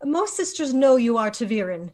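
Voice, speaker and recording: A woman playing a stern, commanding leader of a mystic order in a dramatic screenplay table read, authoritative and measured. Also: on the high side, big pitch swings, mellow. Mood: coolly commanding.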